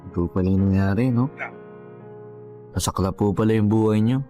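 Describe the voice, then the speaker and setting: A man's voice: fairly low pitch, moderate pace, mellow, soft background music, natural voice. An actor playing a friendly, sympathetic younger man, a chatty and respectful customer with a Filipino accent, in a radio drama. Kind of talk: Tagalog radio drama acting.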